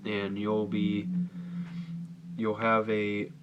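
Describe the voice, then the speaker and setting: A man's voice: on the low side, completely flat delivery, very slow, muffled recording. A man giving an informal, unscripted how-to demonstration as a hobbyist video host, explaining somewhat hesitantly as he goes. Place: indoors at home. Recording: faint background noise.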